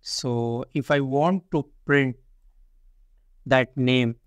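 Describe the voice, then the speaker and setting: A man's voice: medium pitch, extremely slow, clean tone, no echo. Man teaching coding, dry close sound. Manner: repetitive, explains step by step with frequent "see" and "only". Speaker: programming tutor. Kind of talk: screen-recorded coding lesson.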